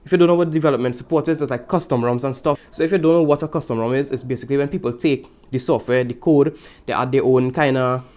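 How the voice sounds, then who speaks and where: A man's voice: medium pitch, fairly even intonation, quick pace, darkish tone. Laid-back Trinidadian tech vlogger man. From Trinidad and Tobago. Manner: laid-back, chatty, repeats slang, jokes aside. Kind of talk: casual tech review.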